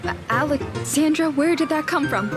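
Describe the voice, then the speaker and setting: High lively voice, woman acting as plucky teen girl, amateur ghost hunter, expressive, stubborn, audio drama, music behind. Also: normal speed, lightly acted. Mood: nervous, proud. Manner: self-important, expressive, exasperated.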